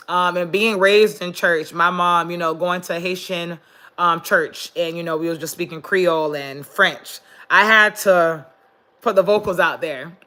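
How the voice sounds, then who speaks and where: Raspy congested woman chatting to viewers. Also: medium pitch, sharp tone. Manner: chatty, greets viewers, many fillers. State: congested, losing voice. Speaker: vlogger. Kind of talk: casual live-stream chat.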